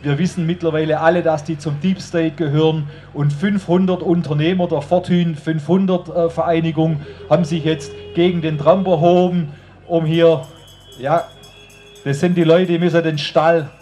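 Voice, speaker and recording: A man's voice: a little high, flat delivery, normal speed, warm tone. A man with a Swabian accent gives an informal, colloquial rally speech outdoors into a handheld microphone, addressing the crowd directly. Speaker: rally speaker. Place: outdoor stage under an open tent.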